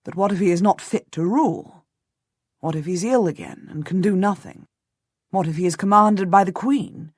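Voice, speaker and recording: Woman voicing a calm, cautious nobleman. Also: on the low side, no room sound, studio quality. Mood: persuasive. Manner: calm, measured, commanding. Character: steady, cautious nobleman husband, middle-aged. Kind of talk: audiobook character voice.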